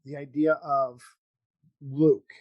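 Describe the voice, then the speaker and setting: A podcast host talks casually in a dry-sounding space. The phrasing is hesitant and halting, as in an informal conversation. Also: medium pitch, level tone, dry recording, muffled recording. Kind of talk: casual chat.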